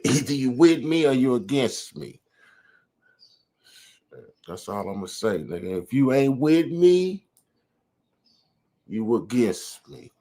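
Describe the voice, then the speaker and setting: A man's voice: mid-range voice, big pitch swings, slow pace, pauses often, warm tone. A man hosting his channel, rambling through casual commentary on clips with lots of fillers. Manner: rambling, drawling, fillers like "man" and "you know". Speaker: channel host reacting to clips.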